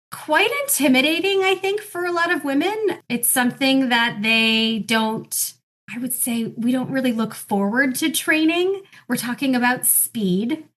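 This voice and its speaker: A woman with a fairly high voice hosts a podcast. She speaks in an upbeat, encouraging way, guiding the conversation. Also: lively intonation, unhurried, bright, forceful tone. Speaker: podcast host. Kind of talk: podcast hosting and interviewing.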